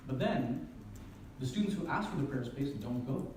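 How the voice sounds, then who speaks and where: A man's voice: mid-range voice, expressive, moderate pace, a little mellow. A man from Canada giving a public lecture, speaking earnestly, posing rhetorical questions and restarting his phrases. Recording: very echoey hall from far off, faint hiss.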